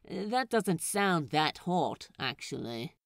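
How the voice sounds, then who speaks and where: A woman's voice: low voice, sing-song, takes it slowly, no room sound, clean studio sound, exaggerated voice. A woman voicing a stubbornly opinionated, flustered male pirate crewman in an audiobook story. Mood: skeptical. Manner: insistent, hesitant interjections.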